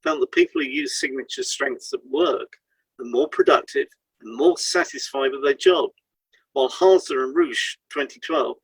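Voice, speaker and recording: A man's voice: on the high side, creaky, husky, no room sound, rough recording. A man reading a scripted informational piece as a podcast host, in an explanatory way.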